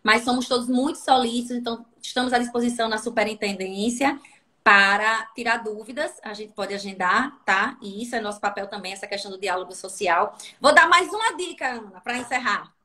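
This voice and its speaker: Bright-voiced woman with a Sergipe accent. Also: fairly high pitch, brisk. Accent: Sergipe, northeastern Brazilian. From Sergipe, Brazil. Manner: animated, instructive, checks in with "tá?". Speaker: guest labor inspector. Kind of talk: livestream teaching talk.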